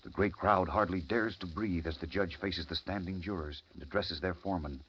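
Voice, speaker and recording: A man's voice: low voice, fairly quick, fairly bright tone. A man narrating an old radio serial in a dramatic, urgent manner. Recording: muffled, noisy old radio recording.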